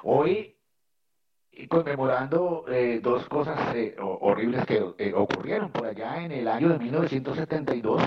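A man's voice: medium pitch, expressive, normal speed. Playful man, distant dull sound. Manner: playful, jokey. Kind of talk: casual radio chat.

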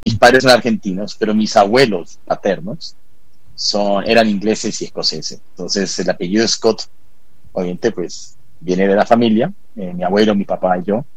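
A man born in England and raised in South America, a whisky expert guest talking in a measured, explanatory way. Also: lowish voice, husky, no echo, poor audio. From born in England, raised in Peru, Ecuador and Colombia. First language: Spanish. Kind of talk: interview conversation.

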